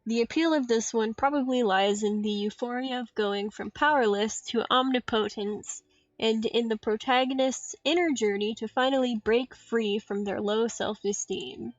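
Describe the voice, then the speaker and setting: A woman's voice: fairly high pitch, normal speed, dry recording. A woman game streamer reading story dialogue aloud on a livestream, recorded dull and dry at home. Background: faint game music.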